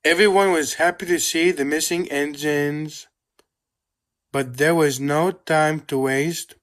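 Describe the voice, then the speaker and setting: A storybook narrator reads aloud for children. The delivery is scripted and slow, with a medium-pitched voice that gives each phrase room. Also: fairly even intonation.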